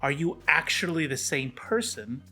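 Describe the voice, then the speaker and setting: A man's voice: a little high, lively intonation, moderate pace, sharp tone, soft background music. A man hosting a casual interview in an enthusiastic, jokey way. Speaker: podcast host.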